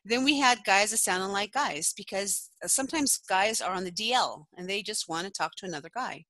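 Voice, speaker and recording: A middle-aged woman, a former phone sex operator, telling stories in a casual podcast interview, chatty and quick to laugh. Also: medium pitch, sharp tone, no echo, low-quality recording. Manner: chatty storyteller who laughs often.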